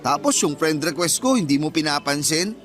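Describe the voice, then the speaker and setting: Young filipino bagger, pretty high male voice, hurt and confrontational, radio drama style. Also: animated, brisk, fairly bright tone, soft background music. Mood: hurt, accusing. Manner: insistent, accusing, wounded.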